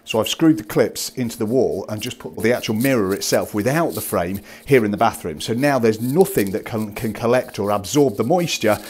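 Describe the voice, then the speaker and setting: A man's voice: fairly low pitch, fairly quick, hardly any pauses, darkish tone, slightly rough. A man in his forties from the UK, chatting through practical tips as he walks around an empty rental house, speaking into a wired earphone mic. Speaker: landlord vlogger. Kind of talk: casual walkthrough vlog. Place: empty house and parked car.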